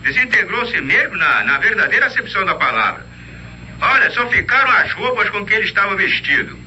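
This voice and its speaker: A man's voice: sits high, sing-song, a little fast, noisy background. An actor playing an alarmed, agitated man phoning the police in an old radio drama. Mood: agitated, earnest. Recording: dull old radio recording, faint music.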